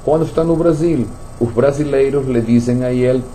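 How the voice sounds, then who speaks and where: A man's voice: mid-range voice, normal speed, mellow, slight background noise, low-quality recording. Venezuelan-raised Portuguese man hosting radio talk. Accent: Portuguese with Spanish slips from Venezuela. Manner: repeats words, thinks aloud with many restarts. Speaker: radio show host.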